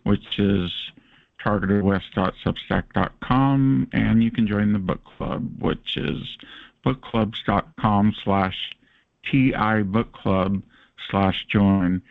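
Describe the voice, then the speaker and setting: Man, Californian accent, show host, low gravelly voice, tinny phone line. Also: slow, dry recording. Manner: relaxed, many fillers. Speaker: host and moderator. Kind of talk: casual call-in show hosting. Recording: phone-like conference call line.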